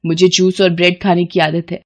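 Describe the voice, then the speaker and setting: A woman's voice: fairly low pitch, completely flat delivery, a little fast, natural voice. A woman voicing a haughty middle-aged rich mother-in-law who talks in an imperious, cutting, condescending way in a family story. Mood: scornful scolding.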